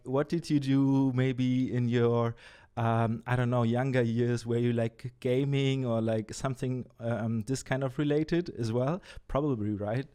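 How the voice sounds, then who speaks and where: A man's voice: mid-range voice, warm tone, clean tone. A man hosting a casual interview, chatting in an enthusiastic, affirming way. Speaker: show host interviewer.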